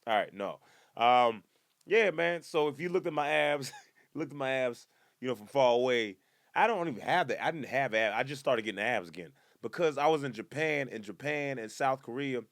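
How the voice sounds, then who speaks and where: A man in his twenties doing a casual comedic podcast monologue, animated and breaking into loud high-pitched bursts when he acts out other people. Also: moderate pace, creaky, no echo, studio quality. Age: 20s.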